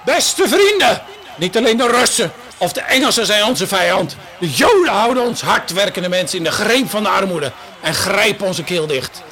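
A man plays a fiery collaborationist demagogue in a wartime radio play. His voice sits high and swings widely as he declaims to rally his people. There is faint noise in the recording. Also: lively intonation, normal speed, pushed and bright, exaggerated voice. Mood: fervent, hateful. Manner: declamatory, emphatic. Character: fiery Nazi collaborator orator rallying a crowd.

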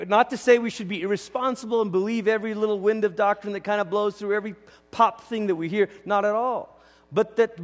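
A man from Malaysia preaching as a pastor in a conversational, informal teaching style, often voicing quoted asides. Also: high-pitched. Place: large indoor church hall. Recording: reverberant hall sound.

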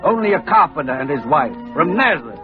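An actor playing a nervous, deferential innkeeper in an old-time radio drama, hesitant and easily agitated. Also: male voice, a little high, big pitch swings, brisk, music in the background. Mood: alarmed, scolding. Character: nervous, obliging innkeeper, anxious and fearful. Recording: thin muffled old radio recording.

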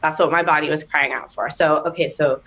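Low-voiced woman telling her story. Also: a little fast. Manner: animated storytelling, laughing, bursts of high excitement. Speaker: guest. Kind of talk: candid personal testimony in a podcast interview.

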